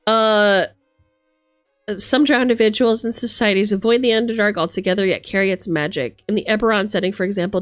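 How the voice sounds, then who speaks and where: A woman streamer chatting casually and reading aloud from a rulebook, with an animated, theatrical manner as she reacts. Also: medium pitch, no room sound, studio quality. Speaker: streamer host. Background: music.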